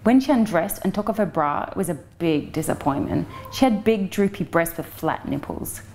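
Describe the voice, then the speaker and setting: A woman's voice: on the low side, lively intonation, normal speed, darkish tone, slight background noise. A woman reading a first-person novel excerpt as an actress, in a frank, matter-of-fact storytelling manner. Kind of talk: first-person audiobook narration.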